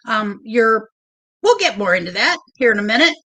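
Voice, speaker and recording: A woman hosting a casual livestream, chatty and a little nervous, with a loud, big voice. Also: on the high side, big pitch swings, normal speed. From Oregon. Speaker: livestream host.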